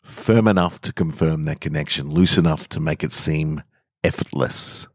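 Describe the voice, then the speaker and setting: A man narrating short fiction in a dramatic, measured and deliberate way with weighty pauses. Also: a bass voice, no room sound, clean studio sound. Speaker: storyteller reading short fiction on a podcast.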